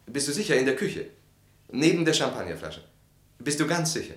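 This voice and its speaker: An actor playing an uneasy, civil husband in a German radio drama, with a hesitant, politely formal way of talking. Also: male voice, medium pitch, sing-song, fairly quick, fairly bright tone, echoey room. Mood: flustered.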